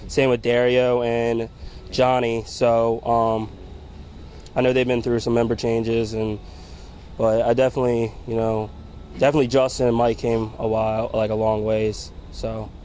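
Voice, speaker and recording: A 21-year-old man, a newer band member, answering interview questions in a halting way and repeating his words. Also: mid-range voice, level tone, a little mellow, a little hiss or hum. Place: outdoors at a music venue.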